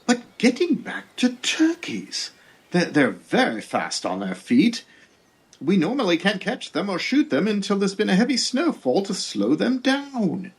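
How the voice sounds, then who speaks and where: A man playing a deadpan Pilgrim governor. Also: sits high, a little slow, full character voice. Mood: amused, matter-of-fact. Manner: matter-of-fact, darkly deadpan. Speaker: comedy skit actor. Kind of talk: scripted comedy sketch.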